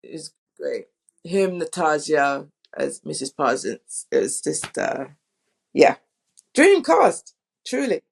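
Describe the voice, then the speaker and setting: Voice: mid-range voice, big pitch swings, takes it slowly, vocal fry, husky. Effusive interview guest chatting casually. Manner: effusive, informal. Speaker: audio drama production guest.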